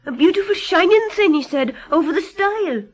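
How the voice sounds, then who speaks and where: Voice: very high-pitched, animated, brisk, soft background music. A performer playing an innocent young country boy in a radio drama, speaking simply and childishly. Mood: subdued.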